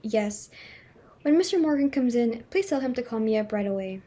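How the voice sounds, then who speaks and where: A woman's voice: a little high, animated, normal speed, mellow. A woman playing a fussy, snobbish middle-aged society hostess and mother in a play reading, with a theatrical, exclamatory, fretful manner. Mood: bossy, brisk.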